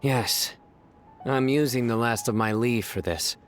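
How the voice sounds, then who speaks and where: A man voicing a stern, deadpan young judge who speaks formally and loves bad puns. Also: medium pitch, measured pace, faint music behind, lightly acted. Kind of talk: video game voice acting.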